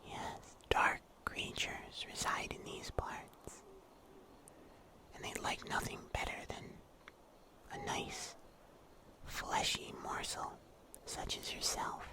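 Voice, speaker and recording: Casting: male performer as mysterious huntsman of the dark woods, wry yet protective, breathy whisper, slow delivery, ASMR roleplay. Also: lightly acted. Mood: ominous, wry. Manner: slow, hushed, gently teasing.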